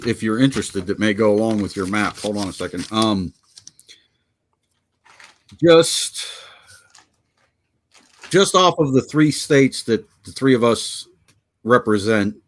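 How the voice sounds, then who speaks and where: Rambling, joking man. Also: fairly low pitch. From Pennsylvania, US. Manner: rambling and joking, fumbles over notes. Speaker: podcast co-host. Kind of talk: casual podcast chat.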